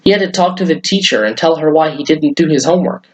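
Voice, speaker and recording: Male children's story reader, slightly high voice, read-aloud storytelling delivery. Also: fast, lo-fi sound. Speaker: children's story-time host reading aloud.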